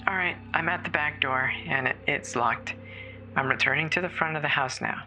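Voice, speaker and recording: A performer voicing a terrified woman caller alone in a snowbound house, halting and stammering with fear in a horror audio drama. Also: mid-range voice, bright, forceful tone, faint music behind, poor audio. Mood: uneasy, nervous. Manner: halting, fearful, breathy stammering. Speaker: emergency caller.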